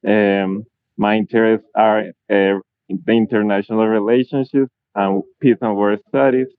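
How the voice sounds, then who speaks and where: Young man from Colombia, low voice, earnest and halting, tinny phone-like sound. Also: steady tone, clear, resonant tone, dry recording. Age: 20s. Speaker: political science student. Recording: tinny phone-like video call audio.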